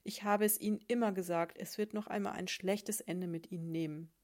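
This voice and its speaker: A woman's voice: mid-range voice, fairly even intonation, brisk, darkish tone, close to an everyday voice. A woman voicing a pompous, pedantic male sponge who lectures everyone in a fairy tale. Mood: lecturing. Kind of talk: storybook reading.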